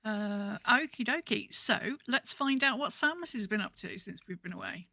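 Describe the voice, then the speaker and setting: A woman's voice: medium pitch, lively intonation, normal speed, creaky, bright, forceful tone, no echo. A woman hosting a podcast in casual chat. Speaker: podcast host.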